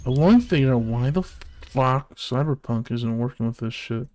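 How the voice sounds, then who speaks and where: A man's voice: medium pitch, normal speed, darkish tone. A man streaming, swearing and muttering to himself about his computer. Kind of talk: casual streaming chat.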